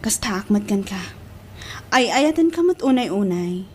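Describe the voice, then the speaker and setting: A woman's voice: medium pitch, sing-song, unhurried, slight background noise. An actress playing a devoted, lovestruck young woman in an emotive Ilocano audio drama, drawing out her words. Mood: tender, devoted. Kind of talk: Ilocano radio-style drama acting.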